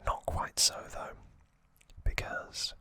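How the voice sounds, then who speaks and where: An ASMR host narrating in a breathy, unvoiced whisper, slow and unhurried, close to the microphone. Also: dry recording. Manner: slow, unhurried, gently repeats words for effect. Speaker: host of an ASMR trivia channel. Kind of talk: whispered ASMR narration, summarizing an anime saga.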